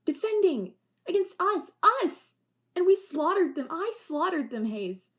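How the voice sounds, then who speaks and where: A woman's voice: very high voice, very animated, unhurried, heavily acted. A woman playing a former police officer, Riley, weighed down by guilt, with a wry, sarcastic way of talking. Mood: impassioned. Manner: wry, sarcastic, turning impassioned. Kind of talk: amateur audio drama acting.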